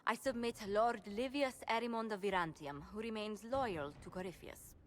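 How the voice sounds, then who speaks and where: A woman playing a formal, measured court advisor. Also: medium pitch, a little hiss or hum, lightly acted. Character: formal female advisor presenting prisoners. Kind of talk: video game voice acting.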